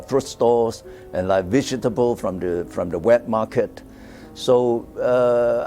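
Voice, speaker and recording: An older man from Hong Kong with a Hong Kong English accent, speaking about his own art in an interview and hesitating with many fillers and repeated words. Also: on the low side. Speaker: artist. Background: soft guitar music.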